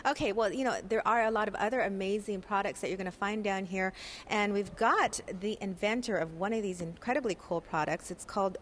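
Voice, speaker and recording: A woman's voice: medium pitch, fairly quick, fairly bright tone, slight background noise. A woman in her thirties, a TV news reporter, giving an upbeat, chatty live morning report from a large indoor expo hall into a handheld mic. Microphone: handheld station mic.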